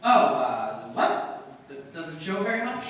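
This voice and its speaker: A man playing a wry, hungover house guest in a 1940s-style radio play, sheepish, halting and dryly joking. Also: a little high, big pitch swings, a bit bright. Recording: distant, echoey hall, faint noise.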